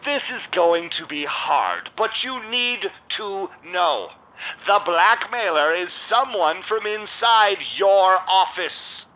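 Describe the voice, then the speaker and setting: A man's voice: high-pitched, lively intonation, slow pace, sharp tone, full character voice, rough recording. An actor playing a shady, smug vampire businessman who owns a club, with suave, theatrical delivery in an audio drama. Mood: falsely sympathetic. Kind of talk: audio drama narration and dialogue.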